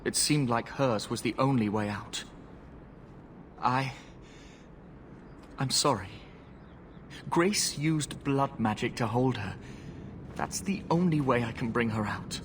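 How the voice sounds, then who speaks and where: A man's voice: slow pace, pauses often, natural voice. A man playing an anxious, guilt-ridden young mage in a fantasy game, hesitant and pleading. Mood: regretful. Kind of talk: fantasy video game acting. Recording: clear background rumble and noise.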